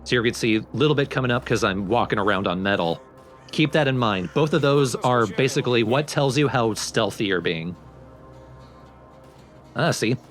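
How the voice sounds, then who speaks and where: A man's voice: medium pitch, expressive, normal speed, fairly bright tone. A man hosting a let's play, explaining the game in casual commentary. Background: video game music.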